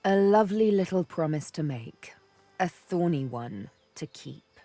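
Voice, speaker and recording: A vengeful elf huntress from a fantasy video game, speaks low and slow over background music. She is arch and sardonic, placing each phrase with teasing, theatrical care. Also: lively intonation, dark tone. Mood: wry, guarded. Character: sardonic, vengeful female elf, former slave turned huntress. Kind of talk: video game character dialogue.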